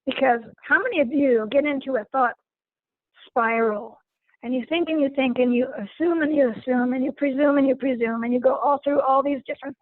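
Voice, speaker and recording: A woman, as a guest facilitator, speaks gently to an online group and often repeats words as she searches for them. Her voice is hoarse. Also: high voice, no room sound, phone-quality sound. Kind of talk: informal lecture to an online group.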